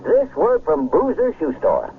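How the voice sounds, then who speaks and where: Pompous local radio announcer, tinny sound. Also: male voice, on the high side, wildly swooping pitch, measured pace, a little hiss or hum, full character voice. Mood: effusive. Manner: pompous, effusive, dramatic. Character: grandiloquent small-town radio announcer, gushing and folksy. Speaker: actor in a radio drama. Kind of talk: 1940s-style radio announcer oratory.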